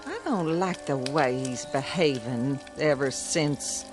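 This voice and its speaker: A woman's voice: a bass voice, slow pace, music in the background. An actress playing a worried, fussing farm mother with a rural Southern American accent in a radio drama. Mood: fretful.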